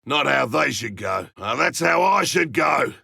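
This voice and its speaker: Gruff monster hunter, game callouts: husky, piercingly tense, dry studio sound, wry loner. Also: male voice, mid-range voice, big pitch swings, measured pace, pushed and bright, no room sound, heavily acted. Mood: grim, weary. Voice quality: gravelly, raspy. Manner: blunt, wry, barking. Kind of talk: video game combat callouts.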